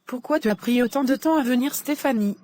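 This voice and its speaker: Woman doing a kind young friend, higher voice, calm and advising, cartoon. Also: fairly quick, soft background music, close to an everyday voice. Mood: caring. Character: caring young female friend giving advice.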